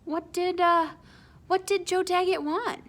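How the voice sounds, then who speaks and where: A woman's voice: very high voice, steady tone, unhurried, fairly bright tone, pitched above the performer's own voice. A woman voicing an eager schoolboy in a storybook, casual and youthful while nervously trying to sound nonchalant. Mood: anxious.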